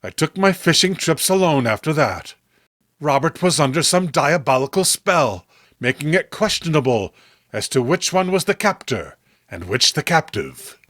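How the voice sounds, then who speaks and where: A man narrating a horror story in a deliberate, theatrical way, as a channel host reading a script. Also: on the high side, very animated, fairly bright tone, no room sound, clean studio sound.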